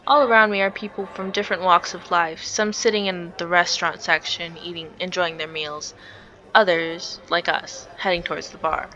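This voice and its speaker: A woman reading a visual novel aloud in an expressive, theatrical way, with casual reactions as a let's play streamer. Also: on the low side, moderate pace, highs cut off.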